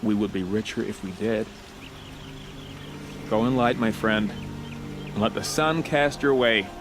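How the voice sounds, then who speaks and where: Sun priest male actor, video game. Lowish pitch, earnest and considered, speaks in formal blessings, music underneath. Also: unhurried, natural voice. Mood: earnest, reflective.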